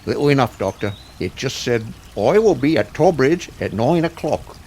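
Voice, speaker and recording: A man's voice: mid-range voice, animated, moderate pace, a little mellow, a little hiss or hum, natural voice. A man acting as a working-class police sergeant in an audio drama, colloquial and deferential. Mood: plain reporting.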